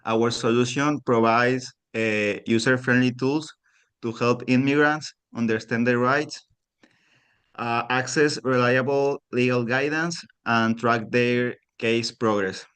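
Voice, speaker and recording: A man from Mexico with a Mexican Spanish accent, giving an earnest prepared pitch over a remote call while unwell. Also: smooth. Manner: steady, earnest delivery, pausing to ask for the next slide. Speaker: pitch contestant.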